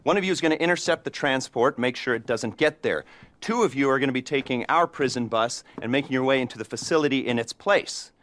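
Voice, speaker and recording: A man plays a middle-aged crime boss in a video game who lays out his plans with dry sarcasm. He stumbles over his own jokes and covers them with nervous chuckles, at an ordinary mid pitch. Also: fast, a bit bright, close to an everyday voice. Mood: wry. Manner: awkward, self-mocking, laughs nervously. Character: nervous, sardonic male criminal mastermind. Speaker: heist planner briefing a crew. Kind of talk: video game cutscene dialogue.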